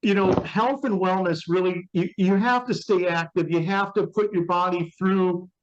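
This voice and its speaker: A man in his fifties speaks in a high register over a dull, muffled call. He talks conversationally as an interviewer promoting wellness, emphatically driving points home by repeating them. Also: steady tone, moderate pace, noisy background. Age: 50s. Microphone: remote call.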